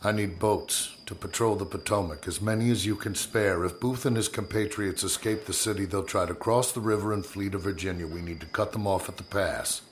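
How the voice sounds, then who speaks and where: An actor playing a stern, commanding 1860s Secretary of War in a historical audio drama, speaking with clipped, formal authority. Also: male voice, lowish voice, fairly quick, hardly any pauses, a little hiss or hum, lightly acted. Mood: grave, controlled. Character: stern, commanding male Secretary of War in 1865, grimly resolute. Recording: dramatic music under the voice.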